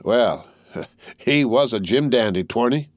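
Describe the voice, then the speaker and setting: A folksy wounded country soldier rambles on in a halting backwoods drawl, as a man reading an audiobook puts him on. The voice sits up higher than a plain speaking voice. It is recorded dry and close, with no room sound. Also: expressive, no room sound, natural voice. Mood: rambling folksy. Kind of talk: audiobook character voice.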